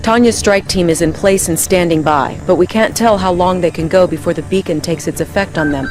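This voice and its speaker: Game cutscene: polite, efficient female military aide actress's role, brisk delivery, quiet music bed. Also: on the low side, close to an everyday voice, rough recording. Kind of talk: dramatic game cutscene acting.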